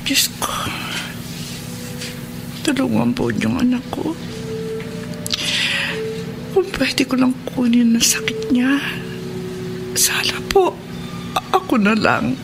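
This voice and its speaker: A woman's voice: on the high side, slow pace, gravelly, long pauses, over music. A woman playing an anxious, self-sacrificing elderly mother in an earnest, emotional Filipino radio drama monologue. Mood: tearful prayer. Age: older.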